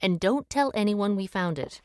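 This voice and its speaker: An actress playing a shrewd, take-charge amateur detective with a brisk, wry way of reasoning aloud in an audio drama. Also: female voice, mid-range voice, lively intonation, background noise, lightly acted. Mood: wry, assured. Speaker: lead actor in an audio drama.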